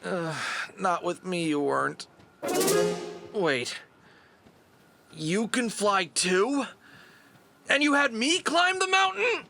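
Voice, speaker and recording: An actor playing a hapless but determined adventurer in an audio drama, wry, grumbling and quick to exclaim. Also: male voice, sits high, expressive, creaky, sharp tone, faint music behind, heavily acted. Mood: indignant. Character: hapless but determined male fedora-wearing adventurer hero.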